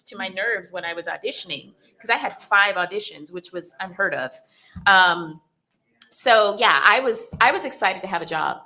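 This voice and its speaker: A woman's voice: mid-range voice, bright, forceful tone. A woman speaking casually on a convention panel, explaining things earnestly and at length. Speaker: actor guest at a fan convention panel.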